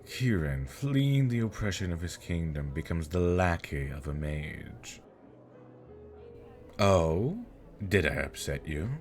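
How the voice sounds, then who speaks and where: A man's voice: low-pitched, full character voice. A man playing a smug, teasing elder demon nobleman with mocking politeness and flirtatious charm, in an ASMR fantasy roleplay.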